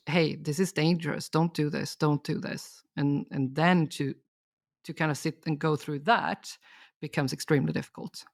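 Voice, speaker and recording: Woman with a gravelly voice. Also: sits low, no echo, clean studio sound. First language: Swedish. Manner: thoughtful, explanatory, steady. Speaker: guest expert. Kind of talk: reflective podcast conversation.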